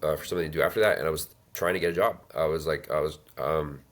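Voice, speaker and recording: A man in his thirties telling his life story as an interview guest, halting with many fillers and restarts. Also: extremely low pitch, steady tone. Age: 30s. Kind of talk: reflective personal storytelling.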